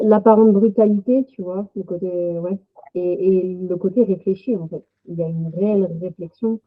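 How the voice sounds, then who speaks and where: Woman interviewer on a phone-like line. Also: lowish voice. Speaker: podcast host, interviewer. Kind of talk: casual spontaneous interview. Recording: thin phone-like lo-fi connection.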